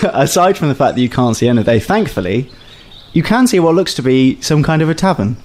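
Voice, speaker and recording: A man's voice: medium pitch, very animated, brisk, darkish tone. A man running a tabletop game as game master, describing scenes in a plain, slightly hesitant way. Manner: descriptive, lightly hesitant narration.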